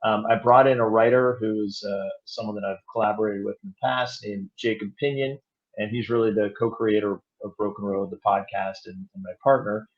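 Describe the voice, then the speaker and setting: Low-voiced man, slightly dull recording. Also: steady tone, brisk. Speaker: guest, audio drama producer. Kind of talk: conversational interview answers. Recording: slightly dull, band-limited sound.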